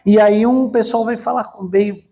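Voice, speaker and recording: A man's voice: high-pitched, steady tone, a little slow, gravelly, dark tone. A man preaching a conversational sermon as a pastor in a church, explaining with frequent fillers and rhetorical questions.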